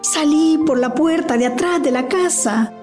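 A woman's voice: sits high, unhurried, over music. A woman playing a devoted rural mother in a radio drama, with anguished feeling. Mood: tender, urgent. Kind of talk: amateur radio drama acting.

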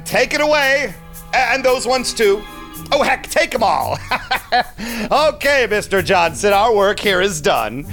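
A man's voice: sits high, sharp tone, exaggerated voice. A man playing a shady, condescending vampire businessman in an audio drama, with an unctuous, theatrical, confiding manner. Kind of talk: audio drama acting with narrated asides.

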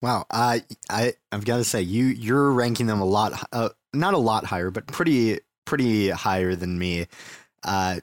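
A young man with a fairly low voice co-hosts a casual soccer chat. He speaks hesitantly, stammering and filling his pauses. The recording is dry and close. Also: no echo. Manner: hesitant, stammering, filler-heavy. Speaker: podcast co-host.

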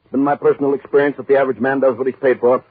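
A thin old radio recording of a man playing a brusque newspaper boss. His delivery is quick, clipped and authoritative, with some rasp in the voice. He sounds cold and impatient, in 1940s drama style. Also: medium pitch, level tone, no echo, narrow, tinny audio, natural voice. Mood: impatient, curt.

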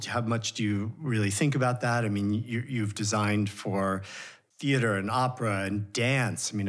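A man with a low voice hosts a podcast conversation. He asks admiring, searching questions and lets them unfold. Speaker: podcast host. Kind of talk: interview questions.

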